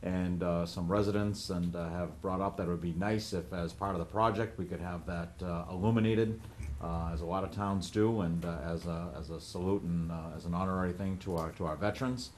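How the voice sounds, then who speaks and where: Low-voiced selectman, halting with uhs. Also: fairly even intonation, a little hiss or hum. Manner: halting, many uhs, easygoing. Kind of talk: town board meeting. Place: town hall meeting room.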